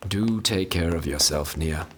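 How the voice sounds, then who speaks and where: Casting: principled, caring friar, fantasy audio drama, low voice, gentle, deliberate, formal. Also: male voice. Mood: resigned, caring. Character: kind, concerned, principled friar and loyal friend.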